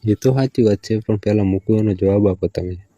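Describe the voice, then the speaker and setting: A man's voice: lowish voice, brisk, mellow, no room sound, close to an everyday voice, low-quality recording. A man with a Gujarati accent playing a hard-up village lover who stalls, placates and bargains in a casual phone conversation. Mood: stalling, teasing.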